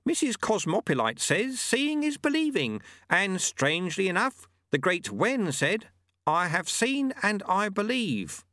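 A man's voice: high-pitched, wildly swooping pitch, measured pace, sharp tone, dry recording, studio quality, pitched above the performer's own voice, heavily acted. A man voicing a serene old male monk and sweeper who speaks in a mild, matter-of-fact way and quotes proverbs.

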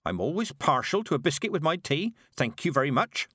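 A man reading an audiobook, voicing a stern, sharp-tongued old woman witch who snaps orders. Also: a little high, very animated, brisk, dry recording, clean studio sound, pitched above the performer's own voice. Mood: commanding. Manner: curt, commanding, snaps orders.

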